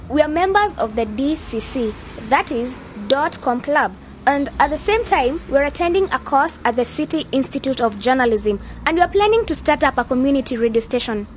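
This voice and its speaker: A young woman student in a radio drama, with a high voice. She is level-headed and persuasive, reasoning with her friends in scripted dialogue. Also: expressive, moderate pace, few pauses, a little hiss or hum. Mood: earnest, persuasive. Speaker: actor in an educational radio drama.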